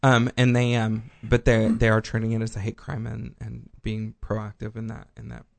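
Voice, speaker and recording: Casting: gravelly-voiced male co-host, from Texas, loose rambling delivery. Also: on the low side, monotone, normal speed, a little hiss or hum. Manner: rambling, many ums and restarts. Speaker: podcast co-host. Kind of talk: casual news chat.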